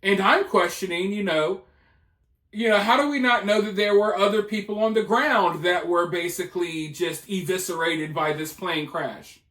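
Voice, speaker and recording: A man's voice: high voice, normal speed, a bit bright. A man giving casual news commentary in a speculative, insistent way, often repeating his points. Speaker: video channel commentator. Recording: roomy, echoing voice.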